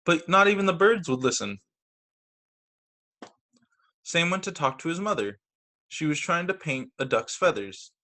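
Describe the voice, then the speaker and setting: Guy teacher doing kids story time, super animated up and down voice, reads aloud n adds little comments. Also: a little high, measured pace, pauses often. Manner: expressive, acts out quoted lines, comments on the pictures. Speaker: teacher reading stories to children. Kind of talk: read-aloud picture book storytelling with casual asides. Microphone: video call.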